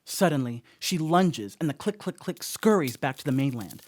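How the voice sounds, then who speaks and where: An actor playing a rattled, rambling stoner dog sitter in a radio play monologue, telling a story conversationally with wry asides. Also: male voice, fairly high pitch, animated, moderate pace, darkish tone. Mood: tense, wry.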